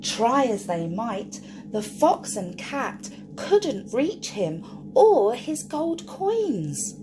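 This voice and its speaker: A woman reads a picture book aloud to small children, her voice swooping up and down as she asks questions along the way. She speaks slowly and a little high. There is steady noise in the background. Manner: animated, sing-song, asks the listener questions. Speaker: storyteller for children.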